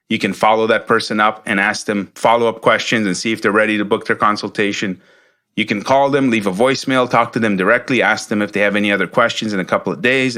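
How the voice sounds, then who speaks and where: A man delivers a coaching monologue as a podcast host. His voice sits on the low side but has a sharp, forceful edge. He speaks emphatically and instructively, restating key points so they land.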